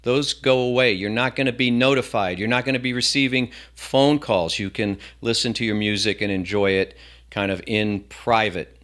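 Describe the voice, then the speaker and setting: A man's voice: medium pitch, bright, forceful tone. A man hosting a casual gadget review, chatting to the viewer with self-deprecating asides. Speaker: tech reviewer, host.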